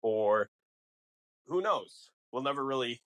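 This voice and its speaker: A man co-hosting a podcast, explaining a film casually in a halting, conversational way. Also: medium pitch, animated, fairly bright tone, dry recording. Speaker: podcast co-host.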